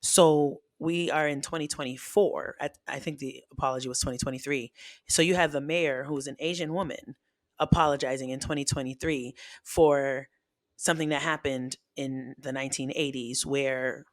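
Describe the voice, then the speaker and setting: Animated man, dry close sound. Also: medium pitch, normal speed, no echo. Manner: animated and expressive, with frequent restarts; frank and self-teasing. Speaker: guest podcaster. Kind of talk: casual chat.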